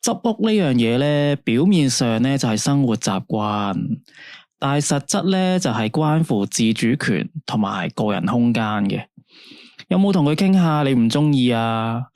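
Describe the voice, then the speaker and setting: Late-night radio host with a Hong Kong Cantonese accent, unhurried, soothing and dry-sounding, played by a man. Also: medium pitch, sing-song, darkish tone, no room sound. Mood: calm. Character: male late-night radio phone-in host, calm and advising. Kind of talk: radio drama acting.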